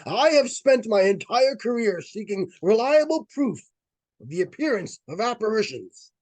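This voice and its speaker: Man playing earnest male psychic researcher, high voice, swooping intonation, grave formal diction, radio suspense drama. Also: very animated, a little mellow, dry recording. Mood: solemn, foreboding. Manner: grave, deliberate, formal, theatrical. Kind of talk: live old-time radio suspense acting.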